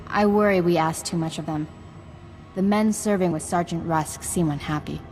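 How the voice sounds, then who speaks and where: An actress playing an earnest, caring female Jedi ally in a video game, speaking in a formal, measured manner. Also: lowish voice, a little mellow, faint music behind, lightly acted.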